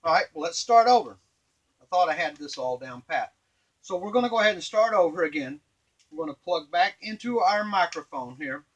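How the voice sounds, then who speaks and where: A middle-aged man giving an informal, halting hands-on tutorial at a workbench, explaining step by step. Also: fairly high pitch, lively intonation, highs cut off. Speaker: device maker and demonstrator. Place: small indoor workshop at a workbench. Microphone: camera a few feet away.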